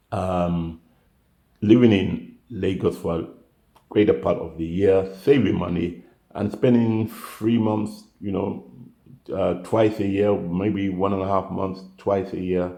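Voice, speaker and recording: A man's voice: low-pitched, vocal fry, dark tone, echoey room. An older man, a retiree vlogger, chatting casually to camera, rambling and correcting himself as he goes. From United Kingdom.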